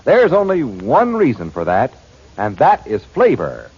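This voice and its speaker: Very animated 1940s radio announcer man. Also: measured pace, husky. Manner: emphatic sales pitch. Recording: muffled old 1940s radio broadcast.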